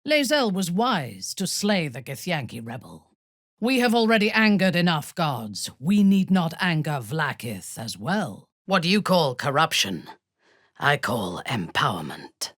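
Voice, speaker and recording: An actress playing an imperious, ruthless female drow commander in a video game, haughty and measured, with menace and seduction. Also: sits low, sing-song, fairly bright tone, no echo, studio quality, exaggerated voice. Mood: haughty, persuasive. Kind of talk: scripted video game voice acting.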